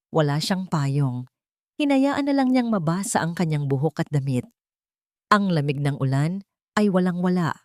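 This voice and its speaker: A woman's voice: on the low side, no room sound, clean studio sound. A woman narrating a scripted audio drama as a storyteller, in a dramatic style.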